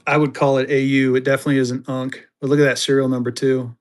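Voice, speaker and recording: A man hosting a live-stream auction of paper money, chatty, jokey and excitable. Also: medium pitch, fast talker. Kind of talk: casual live-stream selling chat.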